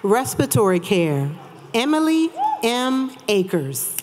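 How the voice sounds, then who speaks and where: A woman's voice: on the high side, sing-song, slow. A woman formally and emphatically reading out graduates' names as a commencement announcer. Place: large auditorium stage at a graduation. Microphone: podium microphone. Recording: crowd noise of a large ceremony. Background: crowd and ambience.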